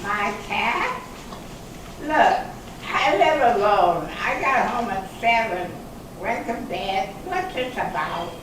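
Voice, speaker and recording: A woman's voice: mid-range voice, lively intonation, slow. Woman acting a defensive suspect, very echoey hall. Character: defensive, flustered woman suspect, night-shift respiratory therapist. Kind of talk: amateur readers theater reading. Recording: very echoey hall, distant mic, noisy.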